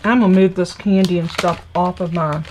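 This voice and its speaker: A woman's voice: fairly low pitch, fairly even intonation, moderate pace, darkish tone. A woman with a scratchy, congested voice, chattily explaining a papercraft project step by step as she works. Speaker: papercraft demonstrator. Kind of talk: live crafting tutorial.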